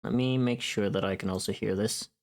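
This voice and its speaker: A woman's voice: a bass voice, a little fast, darkish tone. A woman livestreamer chatting casually and informally while she works, thinking aloud.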